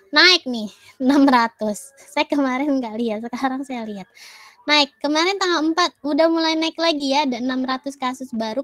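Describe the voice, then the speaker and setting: A woman's voice: sits high, a bit bright. A woman giving a casual lecture with many fillers and English terms, recovering from a cough. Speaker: university lecturer.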